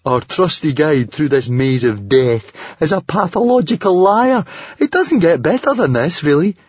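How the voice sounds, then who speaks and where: Man doing a high, whiny, gloomy boy. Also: normal speed, darkish tone, breathy edge, no echo, muffled recording. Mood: exasperated sarcasm. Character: gloomy, stubborn, sarcastic young boy.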